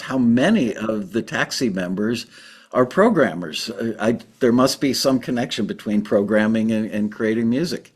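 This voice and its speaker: A man's voice: medium pitch, slightly rough. Man hosting an interview by video call. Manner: friendly, laughs often, fills pauses with um and uh. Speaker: interview host, music company head.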